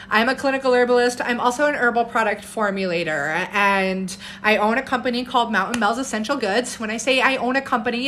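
A woman in her thirties with a loud voice, an herbalist chatting casually on a phone live stream at home and answering viewer comments in an animated way. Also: medium pitch, a little hiss or hum. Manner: animated, jumps between topics and viewer comments, upbeat. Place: home room with bookshelves, indoors. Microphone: phone camera at arm's length.

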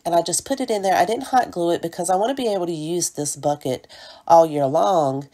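A woman's voice: low-pitched, mellow. A woman with a cold and a stuffy nose, narrating a chatty crafting tutorial as the channel's host. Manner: chatty, apologetic, fond of "little" and "cute".

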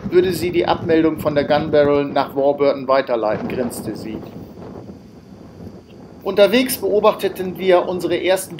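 A man narrating his outback road trip as a voice-over, matter-of-fact with wry asides. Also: a little high. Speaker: travel vlogger narrating. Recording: faint background noise.

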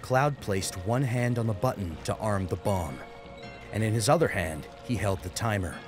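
Casting: male audiobook narrator, low and lively, dramatic scripted delivery over a music bed. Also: normal speed.

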